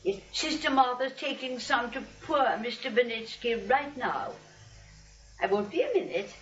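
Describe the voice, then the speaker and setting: A woman's voice: on the high side, expressive, normal speed, faint music behind, natural voice. A woman playing a sweet, fussy, genteel old aunt in a 1940s radio play. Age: older. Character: sweet, hospitable dotty old spinster aunt. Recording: dull old radio recording.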